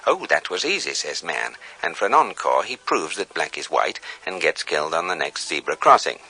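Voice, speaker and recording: A man's voice: extremely low pitch, normal speed, breathy edge, heavily acted. A man playing a dry, erudite guidebook narrator in a radio comedy, with an English accent. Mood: dryly amused. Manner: deadpan, erudite.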